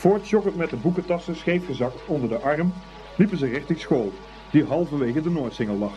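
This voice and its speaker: A man narrating a scripted story for a Dutch radio play in an expressive, unhurried storytelling manner. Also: a little high. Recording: dull old radio recording, faint music.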